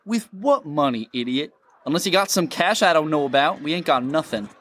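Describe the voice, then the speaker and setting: A man's voice: a little high, lively intonation, a little fast, fairly bright tone. A man playing a bossy, scheming outlaw brother in a western audio drama, snappy and scornful, with a rural American accent. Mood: scornful, annoyed. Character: irritable scheming bandit, bossy elder brother. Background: music.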